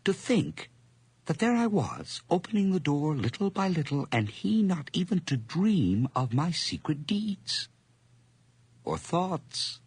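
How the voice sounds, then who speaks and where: An actor playing a sly, obsessive madman in a gothic radio drama, speaking theatrically and deliberately in a husky, breathy voice that often nears a whisper. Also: a little high, big pitch swings, darkish tone, no room sound, heavily acted. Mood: gloating, excited. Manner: theatrical, deliberate, lingering on repeated words. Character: sly, obsessive, gloating madman confessing a murder plot.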